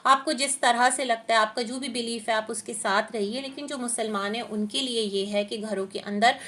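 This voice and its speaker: A woman with a somewhat high voice and a sore throat gives reaction commentary. She lays out her opinions in a steady, explanatory way. Her talk is full of small hesitations as she searches for words. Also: a little hiss or hum. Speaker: reaction vlogger.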